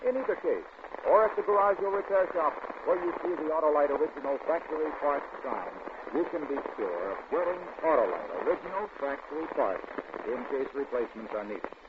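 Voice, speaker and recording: A man's voice: fairly high pitch, expressive, fairly quick. An American man working as a radio announcer, giving emphatic, scripted sales patter in 1940s commercial style. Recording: tinny, noisy old radio broadcast.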